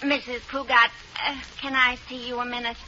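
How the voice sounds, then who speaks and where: An actress playing a chatty, deadpan housemaid in a 1940s radio sitcom. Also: female voice, a little high, fairly quick, slight background noise. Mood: gossipy. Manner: gossipy, deadpan. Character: chatty older female housemaid Katie. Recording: muffled old radio broadcast.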